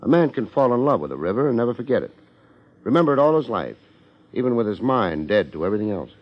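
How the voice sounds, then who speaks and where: An American actor playing a wry, hard-boiled private detective in a 1950s radio drama, terse and streetwise. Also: male voice, medium pitch, very animated, fast, no echo. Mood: terse, probing. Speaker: lead actor and narrator. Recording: muffled 1950s radio recording, faint music.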